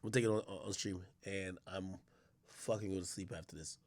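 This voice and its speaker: A man's voice: low voice, fairly even intonation, brisk, darkish tone, a little hiss or hum. A man live-streaming, chatting loosely and profanely straight to his viewers. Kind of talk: casual live-stream chat.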